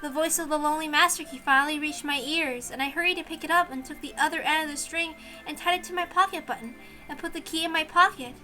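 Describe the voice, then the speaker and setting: A woman reading a visual novel aloud in expressive story narration. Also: sits high, quick pace, faint music behind.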